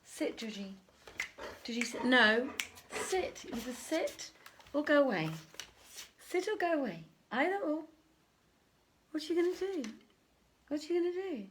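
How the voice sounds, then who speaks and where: A woman's voice: sits high, expressive, unhurried, long pauses, fairly bright tone. Chatty woman host, noisy home recording. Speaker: host and storyteller, theatre director. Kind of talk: informal welcome before a live bedtime-style reading. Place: at home, live to camera on a tripod.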